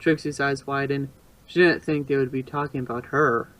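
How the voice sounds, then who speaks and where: A man's voice: medium pitch, fairly even intonation, normal speed, fairly bright tone. A man narrating fan fiction as an amateur storyteller, sometimes stumbling and repeating phrases.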